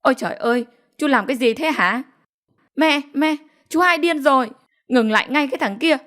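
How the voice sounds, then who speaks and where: A woman's voice: a little high, fairly even intonation, slow, a bit bright, pitched above the performer's own voice. A woman voicing a whiny, feeble-acting, alarmist sister-in-law who wails and appeals for help in an audio story. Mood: alarmed wailing. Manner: wailing, appealing to mother.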